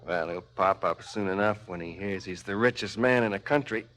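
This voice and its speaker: An actor playing a greedy, domineering crime boss in a 1970s radio drama, blunt and insinuating. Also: male voice, low-pitched, moderate pace, a bit bright. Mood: smug, scheming. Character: bullying, greedy, corrupt male crime boss.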